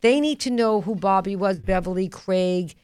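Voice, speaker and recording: A woman's voice: mid-range voice, moderate pace, darkish tone, clear, resonant tone, no echo, studio quality. A woman, hosting a casual radio talk show in a blunt, emphatic way and often repeating herself. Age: 50s. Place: radio studio.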